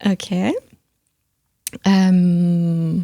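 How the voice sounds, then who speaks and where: A middle-aged woman from the United States, speaking with an American accent in a casual podcast conversation, often pausing and repeating words as she goes. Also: fairly low pitch, level tone, dark tone, dry recording, studio quality. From United States (Missouri). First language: English. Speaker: podcast host.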